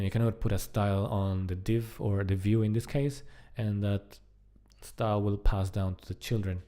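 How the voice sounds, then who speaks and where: A man giving casual live-coding commentary, thinking aloud in a halting, muttering way as he works. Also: fairly low pitch, warm tone. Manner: halting, muttering to himself while working. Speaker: programmer live-streaming a coding project.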